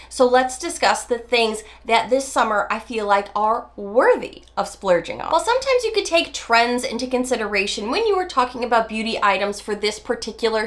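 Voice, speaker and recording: 40ish woman with a stuffy nose from a cold, chatty beauty vlog to camera, kinda high voice. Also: a bit bright. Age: 40s. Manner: chatty, emphatic, drawn-out stresses. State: nasal from a cold. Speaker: beauty vlogger. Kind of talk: casual talk to camera.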